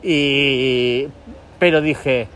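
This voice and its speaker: A man's voice: mid-range voice, fairly even intonation, very slow, a bit bright, clear, resonant tone. A man with an accent from Spain chatting casually as a podcast host while out walking, in a rambling, hesitant way as he searches for words. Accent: Spain Spanish. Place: outdoors, walking in town. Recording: outdoor street and vehicle noise.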